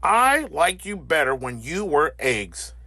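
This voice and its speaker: A grumpy bear from a children's storybook as a man plays him, stern and curt. The voice is husky, with big swings in pitch and a close, dry sound. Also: very animated, slow pace, bright, forceful tone, no room sound, full character voice.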